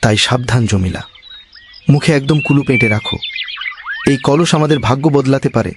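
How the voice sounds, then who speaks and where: Casting: male actor as modest, careful poor villager, earnest cartoon moraliser, mid-pitched, over clear birdsong ambience. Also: animated, moderate pace, noisy background, close to an everyday voice. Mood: worried warning. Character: humble, cautious poor village husband, content with little. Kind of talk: cartoon voice acting.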